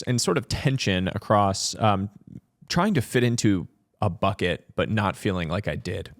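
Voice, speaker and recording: Man from Massachusetts, podcast guest, reflective storytelling, fillers, lowish voice, dry close sound. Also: no room sound. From Massachusetts, USA. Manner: thoughtful, lots of "um" and "like" fillers.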